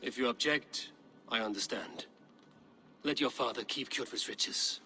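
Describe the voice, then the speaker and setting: Casting: steadfast Viking warrior, bright and tense voice, mid pitch, terse and formal, game drama. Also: male voice, a little slow, a little hiss or hum. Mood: firm. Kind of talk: dramatic game cutscene acting.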